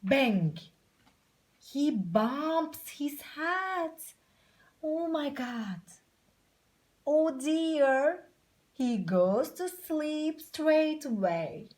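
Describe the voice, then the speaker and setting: A woman telling a picture-book story to young children in an expressive, exclamatory way, taking her time. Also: high voice, extremely slow, pauses often, dry recording.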